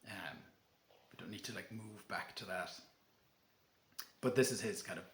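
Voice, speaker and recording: A man's voice: on the low side, moderate pace, echoey room, a little hiss or hum. A young man with an Irish accent, chatting casually about poetry with lots of fillers and restarts. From Ireland. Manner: relaxed, many fillers and restarts. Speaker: book channel host. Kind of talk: casual talk and poetry reading.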